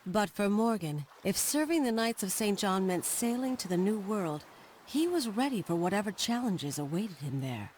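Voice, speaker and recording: A woman performs as a narrator for a historical game cutscene. Her voice is medium in pitch, measured and solemn, telling the tale like a chronicle. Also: animated, a little mellow, a little hiss or hum, close to an everyday voice. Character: storytelling female narrator of historical adventure.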